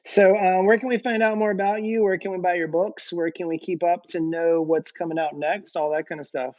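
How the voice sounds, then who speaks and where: A man's voice: high voice, fairly even intonation, brisk, darkish tone, no room sound. A man hosting a podcast interview in a casual, chatty way. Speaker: podcast host. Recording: dry, slightly dull audio.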